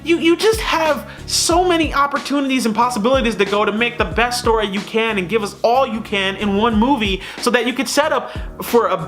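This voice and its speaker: A man's voice: very high-pitched, brisk, a bit bright, soft background music. A man hosting a casual, opinionated review, talking straight to viewers in a conversational, emphatic way. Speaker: film reviewer and host.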